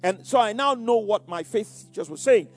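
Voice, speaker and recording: A man's voice: high voice, lively intonation, normal speed, faint music behind. An older man with a Nigerian Yoruba accent, preaching and recounting his past, who often repeats words and says "you know". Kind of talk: sermon-like personal testimony.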